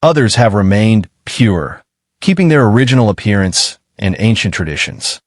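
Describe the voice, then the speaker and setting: A man narrates a documentary in a low, steady voice, measured and explanatory, talking straight to his viewers. The voice-over is close and dry, with no room sound at all. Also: no room sound.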